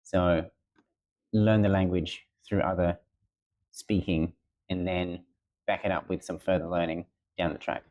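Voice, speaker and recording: Man, thirties, very deep voice, casual, hesitant interview answers. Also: a little slow, pauses often. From Australia. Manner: relaxed, many ums and uhs, plain-spoken. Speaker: teacher and guest. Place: school room.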